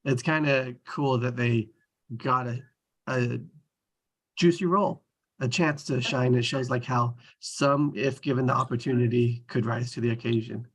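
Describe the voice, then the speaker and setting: A man's voice: medium pitch, steady tone, a little slow. A man in a casual group discussion, speaking tentatively in broken-up phrases.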